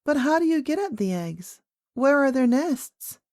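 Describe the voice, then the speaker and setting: Storybook little girl princess, played by a woman: high, swooping pitch, eager, questioning, outspoken. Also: very animated, measured pace, darkish tone, dry recording, clean studio sound, heavily acted. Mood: delighted, eager. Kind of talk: storybook character voice.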